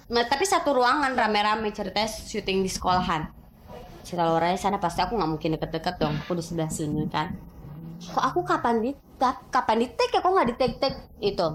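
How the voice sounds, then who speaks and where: A woman in her thirties with a Medan Indonesian accent talks casually as an interview guest. Her delivery is animated and chatty, and her pitch jumps when she gets excited. There is a faint hiss behind her voice. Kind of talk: casual chat.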